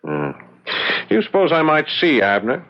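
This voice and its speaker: An actor playing a shrewd, wry gentleman sleuth in a radio drama, with measured, ornate, old-fashioned phrasing. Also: fairly high pitch, wildly swooping pitch. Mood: thoughtful. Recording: dull, lo-fi radio drama recording.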